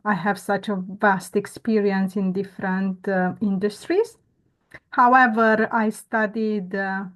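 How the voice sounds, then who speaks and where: A woman, a guest telling her story earnestly and reflectively, pausing often on "uh" and "um". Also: mid-range voice. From Romania. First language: Romanian. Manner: earnest, reflective, with frequent "uh" and "um" pauses. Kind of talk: conversational interview storytelling.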